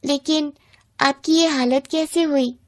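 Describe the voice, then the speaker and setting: A woman's voice: high voice, fairly even intonation, takes it slowly, pitched above the performer's own voice. A woman voicing a poor, earnest young fruit grower with a pleading manner in a moral bedtime story. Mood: concerned. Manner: pleading, plaintive.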